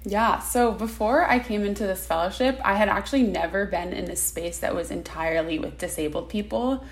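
A woman in her twenties, speaking as an interview guest in an earnest, quick way over a video call. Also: medium pitch. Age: 20s. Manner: earnest, fast-talking. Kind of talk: casual interview answers.

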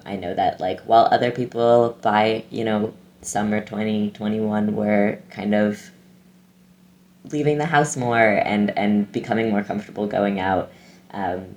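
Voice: sits low, darkish tone. A young adult in their twenties, answering interview questions thoughtfully and hesitantly over a video call. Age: 20s. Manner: thoughtful, hesitant, many fillers. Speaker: guest.

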